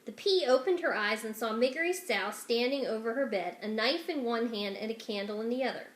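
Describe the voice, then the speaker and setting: High-voiced woman reading a storybook, echoey room. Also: a little fast. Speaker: children's story reader. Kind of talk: expressive scripted storybook narration.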